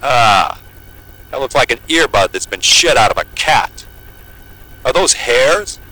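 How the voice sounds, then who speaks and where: An uneasy, bright-voiced male pilot. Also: mid-range voice, expressive, measured pace, low-quality recording. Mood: baffled, insistent. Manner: hesitant, colloquial. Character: plain-spoken, uneasy male pilot, crude but well-meaning. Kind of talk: naturalistic audio drama acting.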